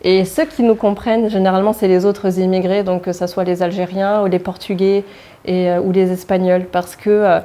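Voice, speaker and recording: A woman speaking in a documentary interview, hesitant, with many pauses and fillers. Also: mid-range voice, flat delivery, warm tone. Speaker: interviewee, child of immigrants.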